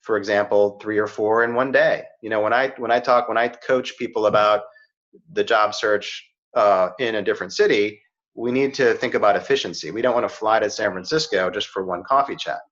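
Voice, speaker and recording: A man's voice: lowish voice, brisk, a bit bright. Middle aged guy coaching on job hunting, earnest, keeps saying right and you know, kinda muffled sound. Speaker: career coach. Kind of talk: casual advice chat. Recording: slightly dull, muffled audio.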